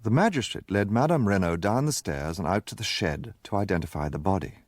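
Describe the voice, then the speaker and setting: A detective's loyal, gentlemanly companion narrating a radio drama. He is earnest and expressive, in a lowish voice recorded close and dry. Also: a little fast, dry recording.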